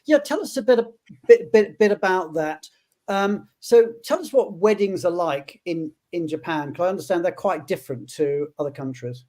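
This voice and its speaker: A man's voice: high voice, expressive, normal speed, darkish tone. A man hosting a live web panel, introducing guests and asking questions, often repeating words as he restarts. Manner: friendly, stammering restarts. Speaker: host.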